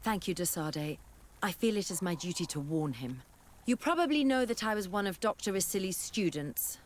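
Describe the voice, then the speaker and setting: A woman's voice: fairly low pitch, lively intonation, normal speed, close to an everyday voice. An actress playing an earnest, principled female scholar with a grave, formal way of speaking in a fantasy game. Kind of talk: scripted fantasy game acting.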